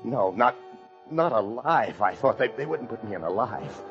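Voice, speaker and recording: An actor playing a paranoid fugitive husband, narrating an old-time radio thriller with intense dread. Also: male voice, medium pitch, lively intonation, normal speed, close to an everyday voice. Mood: panicked, paranoid. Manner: intense, breathless dread, swinging from brooding to frantic shouts. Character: desperate, paranoid fugitive husband confined in a locked room. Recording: dull old radio recording with music.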